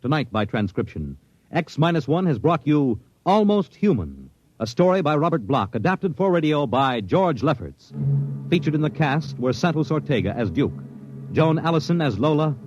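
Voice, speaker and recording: A man's voice: fairly high pitch, big pitch swings, normal speed, a little mellow. A man with an American accent reading scripted credits as a formal, polished 1950s radio announcer. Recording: dull old radio broadcast, music behind.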